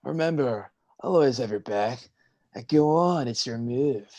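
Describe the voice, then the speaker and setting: A man playing a dramatic, dazed sea god with drawn-out exclamations in a staged play reading. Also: medium pitch, lively intonation, a little mellow, lo-fi sound. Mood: encouraging.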